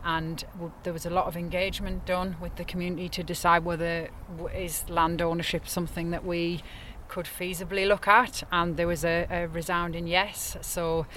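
A woman's voice: fairly low pitch, little pitch movement, normal speed, a bit bright, slight background noise. A woman from Sunderland, an estate manager, explaining things steadily with many fillers and restarts in an outdoor interview. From Sunderland, north-east England. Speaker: nature reserve estate manager. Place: open moorland outdoors.

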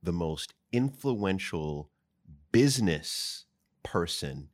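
A man hosts a casual podcast with an enthusiastic, affirming manner. His voice is low but swings animatedly in pitch, recorded close and dry. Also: sing-song, takes it slowly, dry recording. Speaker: podcast host.

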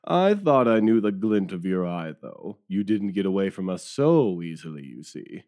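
A bossy but agreeable police detective, acted by a man in an audio drama. His voice is fairly low and very animated, hearty and full of bluster. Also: moderate pace. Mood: amused, admiring.

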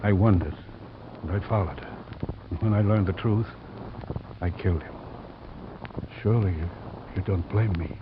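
A man playing a weary, ageing, protective father in an old radio drama, grave and halting. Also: low-pitched, natural voice. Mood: weary confession. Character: weary ageing father, protective of his grown daughter, sombre. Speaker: supporting actor in a radio drama. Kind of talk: 1940s-50s American radio acting. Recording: very muffled, noisy vintage radio recording.

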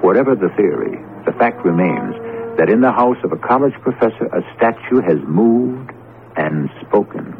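A middle-aged man hosting a radio drama with deliberate, portentous scripted narration. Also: on the low side, very animated, background noise. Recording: muffled old radio broadcast with music. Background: music.

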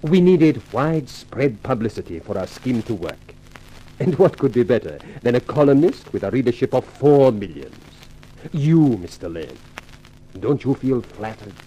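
A smooth continental racketeer from a 1950s radio mystery. His speech is formal and urbane, with swooping pitch over a soft, dusky, slightly rough tone. He stays coolly courteous while he schemes. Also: big pitch swings, low-quality recording. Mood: smug menace. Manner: formal, measured, urbane phrasing. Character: suave scheming racketeer, European adagio dancer, coolly courteous. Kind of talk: 1950s radio drama acting.

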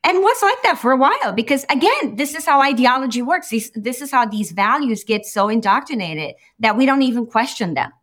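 A woman from Romania, a therapist in her forties, speaking as a guest on a podcast in an animated, emphatic way, often checking in with a quick "right?". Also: high-pitched. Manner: animated, emphatic, tosses in "right?" and quoted asides. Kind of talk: podcast interview.

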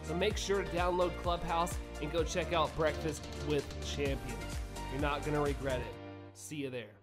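A man's voice: fairly high pitch, fairly even intonation, normal speed. Upbeat male announcer over music. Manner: upbeat and punchy. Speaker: promo announcer. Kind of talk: scripted promotional read.

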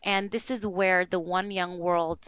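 A woman's voice: on the low side, steady tone, measured pace, fairly bright tone, clean tone, dry recording, dull audio. A young woman hosting a podcast interview, friendly and enthusiastic, with frequent fillers. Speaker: podcast host. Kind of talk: casual interview chat.

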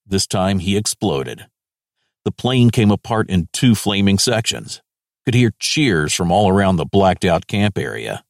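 A man's voice: deep voice, big pitch swings, measured pace, gravelly, no room sound, studio quality, heavily acted. A man playing an American major general of the Second World War, reading his war diary in clipped, terse phrases. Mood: vivid, matter-of-fact.

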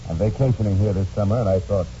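An actor playing a genial, patient blind army major with a polite, gentle manner in a 1940s radio drama. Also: male voice, fairly low pitch, fast talker, natural voice. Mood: friendly, polite. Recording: muffled, hissy old radio broadcast.

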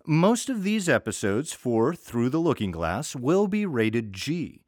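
A man's voice: mid-range voice, no echo, studio quality. An American man introducing an audio story series as host, in a wry, conversational scripted style.

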